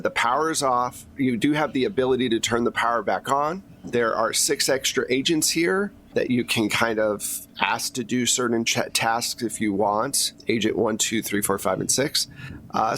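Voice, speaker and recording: A man's voice: medium pitch, fairly bright tone, slight background noise. A man running a tabletop game as game master, recapping and narrating in an informal, explanatory way.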